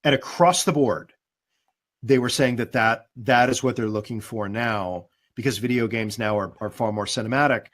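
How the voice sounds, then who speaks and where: Man, mid-pitch, easygoing guest, thoughtful, plenty of ums, video call. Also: normal speed. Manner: thoughtful, relaxed, with many fillers. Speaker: guest voice actor and demo producer. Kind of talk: casual webinar chat.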